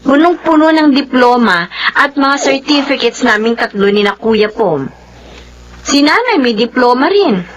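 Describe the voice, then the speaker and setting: Actress as high-voiced earnest young daughter. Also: female voice, expressive, unhurried, highs cut off. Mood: persuasive. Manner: earnest, persuasive. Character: devoted, pleading grown daughter with a job. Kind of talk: Filipino drama acting.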